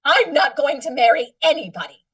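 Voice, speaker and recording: A woman plays a needling, spendthrift wife in an old-time radio comedy, all mock sweetness. Her high voice leaps about expressively. She sounds a little back from the mic. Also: very animated, brisk, fairly bright tone, distant mic. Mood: scolding. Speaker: radio play actress. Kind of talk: 1940s radio comedy acting.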